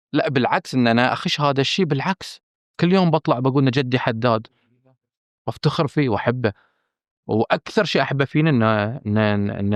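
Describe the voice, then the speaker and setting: A man's voice: mid-range voice, no echo. Earnest man with a Bahraini accent. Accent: Bahraini Arabic. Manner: earnest and emphatic, stressing his frankness, with hesitant fillers and restarts. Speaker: guest, film director. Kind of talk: candid podcast interview.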